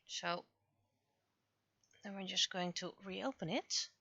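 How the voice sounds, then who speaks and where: A woman with a bright, slightly high voice chats casually on a livestream. She thinks out loud, asking herself questions and muttering as she works. The sound is dry and close but a little dull and lo-fi. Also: takes it slowly, dry recording. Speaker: livestreamer, webcomic and visual novel creator. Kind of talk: casual livestream chat, thinking aloud while working.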